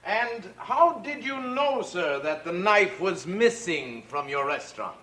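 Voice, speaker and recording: An actor playing a showy, sly defense lawyer with a mocking, theatrical manner in 1940s radio style. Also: male voice, sits high, very animated, unhurried, fairly bright tone, heavily acted.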